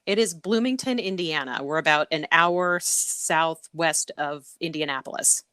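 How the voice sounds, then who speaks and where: A woman's voice: sits low, a little slow, sharp tone, smooth, no echo. A middle-aged woman from Connecticut, a professor being interviewed, talking casually with many ums and easy laughs. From Connecticut, USA. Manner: frequent "um" fillers and repeats, laughs easily. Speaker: guest, associate professor.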